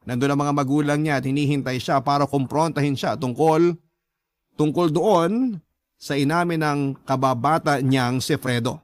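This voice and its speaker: A man's voice: a little high, moderate pace, no echo, studio quality. A man giving scripted first-person dramatic narration, reading out a love story as a letter sender would tell it.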